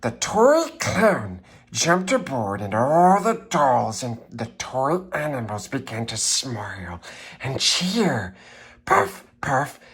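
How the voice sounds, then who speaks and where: A man voicing a wretched, throaty creature who reads a children's storybook in a theatrical croak. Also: medium pitch, very animated, slow pace, a bit bright, full character voice. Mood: dramatic narrating. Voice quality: raspy, strained throaty croak. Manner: theatrical, wildly swooping delivery. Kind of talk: dramatic storybook reading.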